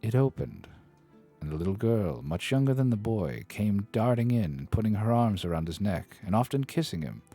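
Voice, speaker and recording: A deep-voiced man reads a classic novel aloud, narrating with lively rises and falls. He reads dramatically and breaks off for self-deprecating asides. Soft study music plays faintly behind him. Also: moderate pace. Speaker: streamer reading a novel aloud. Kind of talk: expressive book reading on a live stream.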